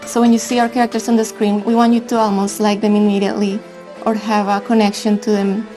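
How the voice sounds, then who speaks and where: A woman's voice: mid-range voice, flat delivery, fast, over music. A woman speaking as a game developer in a documentary-style interview.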